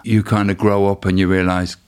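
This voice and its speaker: Deep-voiced man in his fifties. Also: level tone, darkish tone, smooth. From South London and Dublin. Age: 50s. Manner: halting, searching for words, many restarts. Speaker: interview guest. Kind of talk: reflective interview conversation.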